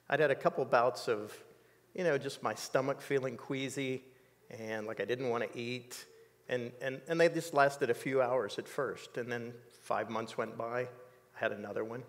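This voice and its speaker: Older guy in his 60s telling his story slow and thoughtful, lotsa ums, echoey studio. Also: medium pitch. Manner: measured, reflective storytelling with many fillers. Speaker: interview guest. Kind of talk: personal testimony in an interview. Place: dark studio set.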